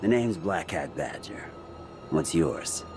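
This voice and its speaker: Wry fugitive peddler, very low voice, swooping intonation, grumbling, video game, music underneath. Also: male voice, animated, slow pace. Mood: wry, sly. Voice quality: gravelly. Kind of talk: video game character acting. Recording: music behind the voice.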